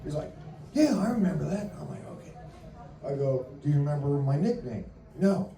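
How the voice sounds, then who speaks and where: A man telling a lively, jokey story at a veterans' meeting, acting out the dialogue, recorded far off in an echoey room. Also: a little high, a little fast, gravelly. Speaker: submarine veteran member. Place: meeting hall.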